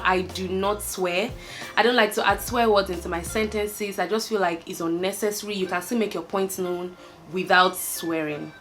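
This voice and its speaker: Young Nigerian woman, candid vlogger, mid-pitched, faint music underneath. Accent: Nigerian, Lagos. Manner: chatty, candid, laughs often, trails off with fillers. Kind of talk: casual chat to camera, answering a tag list.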